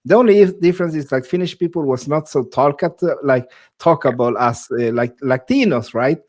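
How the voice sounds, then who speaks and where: A man's voice: on the high side, a little fast, a little mellow. A man who grew up in Sweden, hosting a casual video-call interview and speaking English in a chatty way, full of "like" and "you know". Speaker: host.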